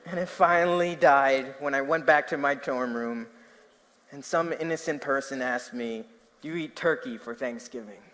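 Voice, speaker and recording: A man's voice: medium pitch, moderate pace. A young man from New Jersey with a New Jersey accent, preaching a sermon, telling stories and asking his listeners questions. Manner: animated storytelling, asking the audience questions. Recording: long hall reverb.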